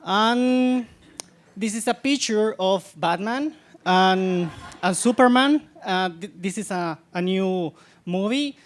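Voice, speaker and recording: A man from Guatemala with a Guatemalan accent giving a public science talk, halting often and repeating words as he searches for them. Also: high-pitched. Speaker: guest lecturer, scientist.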